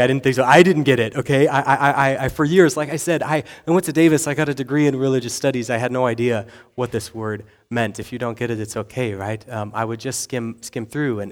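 Man preaching a chatty, self-deprecating sermon. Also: medium pitch. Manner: conversational, self-deprecating, frequent "okay?" and "right?". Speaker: pastor.

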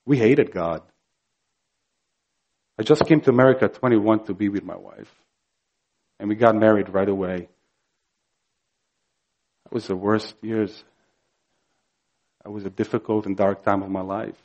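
A man from Croatia with a Croatian accent, preaching a sermon earnestly and emphatically, slow and building to fervor. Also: fairly low pitch, a little mellow, dull audio. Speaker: pastor.

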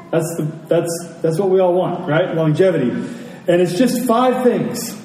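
A man preaching a sermon in a deliberate, emphatic way, with dramatic pauses between phrases. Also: sits high, big pitch swings, darkish tone. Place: church service, speaking to congregation. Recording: roomy echo, distant mic, faint noise.

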